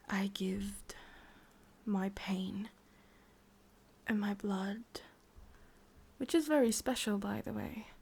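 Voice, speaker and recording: Breathy whispering English witch, played by a woman. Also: medium pitch, exaggerated voice. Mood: sultry, teasing. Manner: soft, intimate whispering, teasing and unhurried. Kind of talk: whispered ASMR roleplay.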